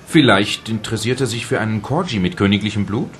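A man's voice: fairly low pitch, quick pace, fairly bright tone, slight background noise, natural voice. A man reading in an absurdist radio play, wry and questioning.

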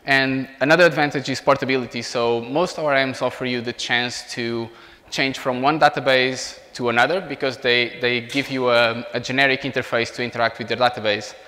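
A man's voice: medium pitch, fairly even intonation, brisk, sharp tone. Portuguese-accented man presenting in a reverberant hall. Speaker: software engineer giving a talk. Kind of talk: informal technical presentation. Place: indoor meetup room beside a large screen.

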